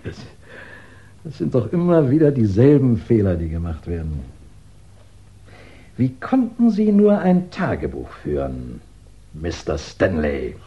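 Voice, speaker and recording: A man acting a shrewd English police chief in a 1960s German radio crime drama, deliberate and ironically polite, with musing pauses. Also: on the low side, huge pitch swings, warm tone, lo-fi sound, exaggerated voice. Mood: politely probing.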